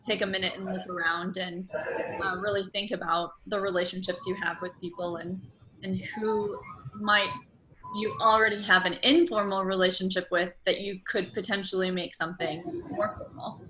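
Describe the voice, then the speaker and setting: A woman's voice: mid-range voice, steady tone, moderate pace. A woman interviewer asking questions in a casual, exploratory, conversational way. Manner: informal, exploratory phrasing with rewordings. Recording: faint background ambient noise.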